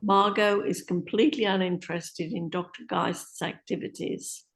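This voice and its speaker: A woman's voice: fairly low pitch, steady tone, moderate pace, vocal fry. A woman reading stage directions as narrator in a scripted play reading.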